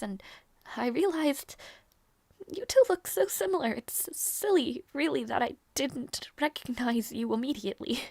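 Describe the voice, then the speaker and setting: A woman playing a gentle, earnest young princess in a romantic fantasy audio roleplay, speaking softly and hesitantly with tender affection. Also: sits high, vocal fry, no echo. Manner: soft, hesitant, tender, halting delivery.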